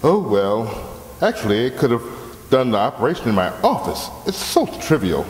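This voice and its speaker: A man's voice: medium pitch, very animated, moderate pace, lightly acted. Man acting a breezy, wildly sing-song doctor. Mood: blithe. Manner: breezy, glib, reassuring. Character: breezy, blithely reassuring male surgeon. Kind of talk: old-time radio comedy acting. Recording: echoey hall, long reverb, background noise.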